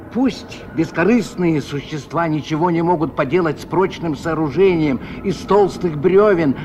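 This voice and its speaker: A man giving measured, expressive literary narration in the style of 1970s Soviet radio drama. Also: sits high. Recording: dull, faintly noisy old radio recording.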